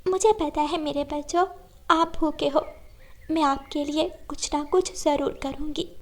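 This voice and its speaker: A woman voicing a gentle, high-voiced mother bird. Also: fairly even intonation, a little slow. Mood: sad, apologetic.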